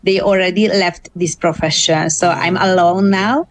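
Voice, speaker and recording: A middle-aged woman from Hungary with a Hungarian accent, a guest in an interview who explains her views carefully and pauses often on "uh" while searching for words. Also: fairly low pitch, steady tone. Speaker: interview guest, gamelan singer. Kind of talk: thoughtful interview answers.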